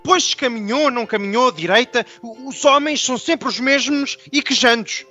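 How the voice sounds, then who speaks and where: A stubborn provincial nobleman in a radio drama, very high and bright in voice. He declaims with pompous, archaic indignation in European Portuguese tones, through slightly dull sound with music underneath. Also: moderate pace, exaggerated voice. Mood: indignant, defiant. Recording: dull band-limited sound with music behind.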